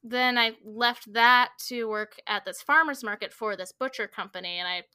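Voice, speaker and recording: Bright, clear-voiced woman in her twenties. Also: a little high, moderate pace, dry recording, clean studio sound. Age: 20s. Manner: rambling, filler-heavy storytelling with frequent "like" and "um". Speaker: podcast guest. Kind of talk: casual chat.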